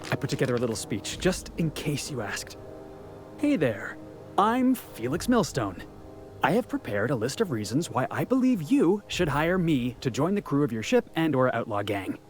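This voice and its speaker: An eager young American drifter from a video game, cocky and earnest. His delivery is very animated, with bravado that often trips over itself. Music plays behind him. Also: a little high, normal speed. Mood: eager, nervous. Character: eager, cocky young male drifter seeking crew work. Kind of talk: video game character acting.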